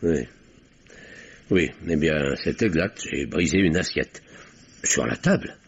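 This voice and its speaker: An actor playing a pompous, self-righteous middle-aged French uncle in a 1950s-style radio drama, speaking formally and defensively. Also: male voice, a bass voice, slow, vocal fry, husky, full character voice. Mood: flustered, defensive. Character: pompous, indignant, self-righteous older uncle under questioning. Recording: dull vintage radio recording.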